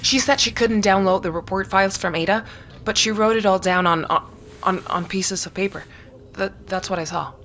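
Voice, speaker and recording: A woman's voice: medium pitch, moderate pace, fairly bright tone, slight background noise, lightly acted. A woman playing an anxious, stubborn, suspicious character in a naturalistic audio drama, earnest and easily stung. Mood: insistent, uneasy.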